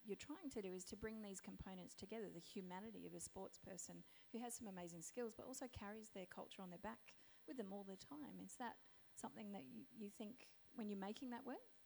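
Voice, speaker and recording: Croaky-voiced woman moderating a panel. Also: mid-range voice, darkish tone, slight background noise, poor audio. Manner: thoughtful, halting, with many fillers. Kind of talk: panel discussion.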